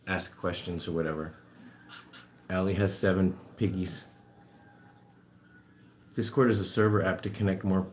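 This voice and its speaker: Rambling man in his forties, low voice. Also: a little hiss or hum. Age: 40s. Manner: loose, rambling and self-amused, talking while doing chores. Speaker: animal rescuer streaming to viewers. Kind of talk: casual live-stream chat answering viewers. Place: home with pet guinea pigs.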